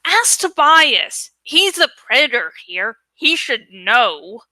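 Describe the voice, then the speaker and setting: A woman voicing a frustrated, sharp-tongued and anxious teenage boy in a read-aloud adventure story. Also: high voice, big pitch swings, slow pace, dry recording, exaggerated voice, low-quality recording. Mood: frustrated, mean.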